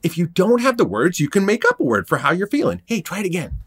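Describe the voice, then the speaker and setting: Chill, encouraging musician; soothing, very lively intonation. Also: male voice, high-pitched, fast talker, breathy edge, exaggerated voice. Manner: soothing, laid-back, encouraging. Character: calm, easygoing male musician friend. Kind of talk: audio drama acting.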